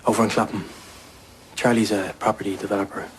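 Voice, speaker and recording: A man's voice: fairly low pitch, level tone, fast, slight background noise, lightly acted, poor audio. An actor playing a working man in his late thirties, in an audio drama. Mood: guarded, plain. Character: thirty-eight-year-old Irish husband, working painter decorator.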